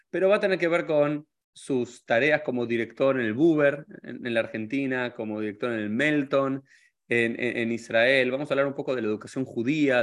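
A man's voice: mid-range voice, fairly bright tone. A man hosting an interview podcast, friendly, talkative and candid. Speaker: podcast host.

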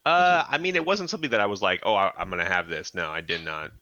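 A man's voice: deep voice, fast talker, bright, forceful tone, dull audio. A man co-hosting a film podcast, matter-of-fact and dry, reading out facts. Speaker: podcast co-host, fact checker. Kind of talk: casual chat about old films.

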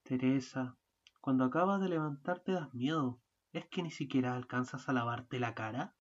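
A woman acting an exasperated, fussy husband in an amateur radio play, bickering and at times shouting. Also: extremely low pitch, moderate pace. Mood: earnest. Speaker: student radio drama actor. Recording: muffled lo-fi recording.

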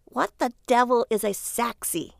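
A woman voicing an exasperated, sarcastic wife who scolds wearily with mocking, drawn-out emphasis. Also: on the high side, creaky, dry recording, clean studio sound, voice pushed up.

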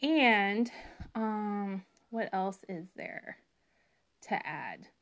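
Slightly raspy young woman, chatty podcast host. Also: mid-range voice, lively intonation, extremely slow, a bit bright. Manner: chatty, rambling with many fillers. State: recovering from a cold, slight cough. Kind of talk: casual solo podcast monologue.

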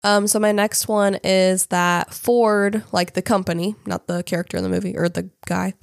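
A woman's voice: medium pitch, steady tone, moderate pace, vocal fry, dry recording, clean studio sound. A woman co-hosting a casual podcast, congested from a cold, reading out facts with frequent fillers and some hesitation over names. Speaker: podcast co-host.

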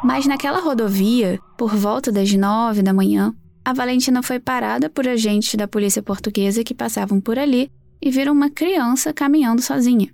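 A woman's voice: on the high side, normal speed, gravelly, darkish tone, dry recording. A Brazilian woman hosting a true crime podcast, telling the story in a conversational way.

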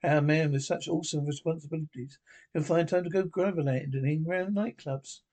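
A man's voice: a little high, steady tone, a little fast, a little mellow, natural voice. A man voicing a whimsical, dry-witted male time traveller in a wry, genteel manner.

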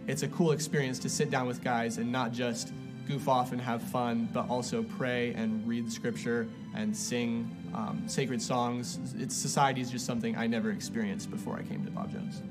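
A man's voice: mid-range voice, fairly even intonation, moderate pace. A young man, a college student, giving a casual testimonial. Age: young adult. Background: music with guitar.

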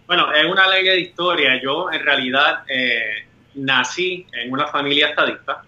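A man's voice: fairly high pitch, normal speed, pushed and bright. A man with a Puerto Rican accent answering as a guest over a video call, earnest, with "eh" fillers between sentences. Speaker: guest, lawyer. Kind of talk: interview answers. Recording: roomy, dull video call audio.

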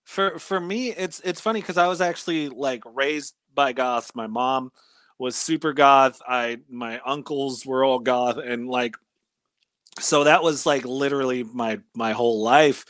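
A man in his thirties with a Californian accent, chatting casually as a guest in a halting, slangy, profane way. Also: medium pitch, a bit bright, no room sound, clean studio sound. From California, United States. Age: 30s. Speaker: interview guest. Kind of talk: casual chat, personal recollection.

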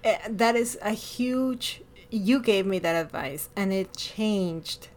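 A woman with a Latin American Spanish accent talking casually and expressively as a podcast host, with animated emphasis. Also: mid-range voice, slow pace. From born in Miami, lived over a decade in Ecuador. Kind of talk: casual personal conversation.

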